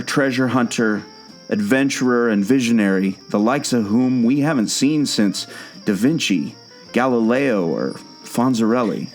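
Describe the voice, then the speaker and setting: A man's voice: mid-range voice, darkish tone, natural voice. A man playing a knowing, patient guide who tells park legends in a scripted audio drama. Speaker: storytelling guide.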